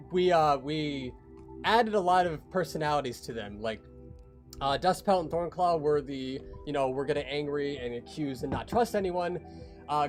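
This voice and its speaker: Higher-voiced man on a livestream, music behind. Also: a little mellow. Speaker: creator on a behind-the-scenes livestream. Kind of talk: casual project walkthrough.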